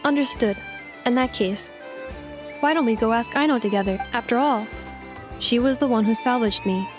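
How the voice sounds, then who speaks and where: A woman's voice: a little high, moderate pace, lightly acted. A woman voicing a polite young female robot guide in a video game, speaking in a precise, composed, formal manner. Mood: composed, analytical. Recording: music behind the voice.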